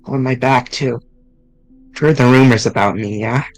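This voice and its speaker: A man's voice: medium pitch, faint music behind, poor audio. Man earnestly reading game dialogue aloud. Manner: earnest, halting, with restarts.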